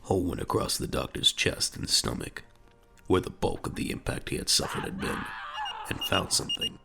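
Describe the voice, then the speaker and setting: A man narrates a sci-fi audio drama in dramatic scripted style. His voice is low and heavily creaky, moving widely in pitch over background music. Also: wildly swooping pitch, normal speed. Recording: music under the voice.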